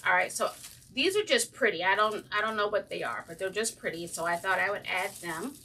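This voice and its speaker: Slightly raspy woman chatting while crafting. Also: mid-range voice, animated, fairly quick, bright, forceful tone, recorded from a distance, slight background noise, rough recording. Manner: chatty, greets viewers by name, frequent ums. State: slightly raspy from breathing trouble. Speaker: craft channel host. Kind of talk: casual livestream crafting chat.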